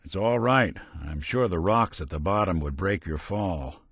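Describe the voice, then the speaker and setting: Weary noir robot detective for a video game, american, deep voice, dry humor, really moves around in pitch. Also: male voice, animated, a little slow, a bit bright, husky, dry recording, exaggerated voice. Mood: dry, sardonic. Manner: wry, dry, old-fashioned hard-boiled slang. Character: world-weary middle-aged male android detective, wry and decent. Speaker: companion character in a video game.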